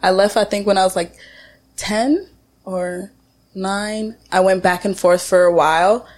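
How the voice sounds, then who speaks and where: A woman's voice: medium pitch, unhurried, darkish tone. A young woman hosting a podcast, chatting casually, laughing often and swearing now and then. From Jamaica. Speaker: podcast host. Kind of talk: casual chat.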